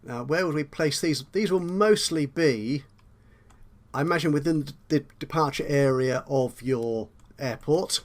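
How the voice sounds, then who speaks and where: A man's voice: a little high, a little slow. Man explaining a video game tutorial. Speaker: tutorial host.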